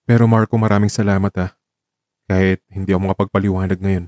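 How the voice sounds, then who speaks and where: A man's voice: low voice, little pitch movement, fast, a little mellow, no room sound, clean studio sound, pitched below the performer's own voice, lightly acted. A man playing a reserved, troubled man who speaks in a subdued, hesitant way in an audio drama. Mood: reserved, grateful. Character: Luke, reserved, troubled man holding back secrets.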